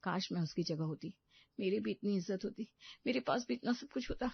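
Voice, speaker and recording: A woman's voice: mid-range voice, fairly quick, gravelly, husky, no echo, dull audio. A woman voicing an envious, discontented young housewife in a storybook tale, whining and exclaiming with self-pity. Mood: envious, sulky.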